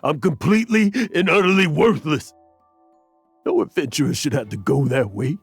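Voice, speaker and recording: A man playing a weary, remorseful old adventurer in a video game, speaking in a gravelly, halting, emotional voice. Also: fairly high pitch, no room sound, soft background music. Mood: despairing. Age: older. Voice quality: gravelly, raspy. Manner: emotional, halting, self-reproachful. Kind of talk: English video game voice acting.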